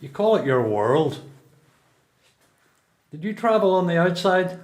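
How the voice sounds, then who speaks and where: A man's voice: high voice, sing-song, a little slow. A man acting a seasoned, reflective prisoner in a radio play, questioning and sure of himself. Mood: probing.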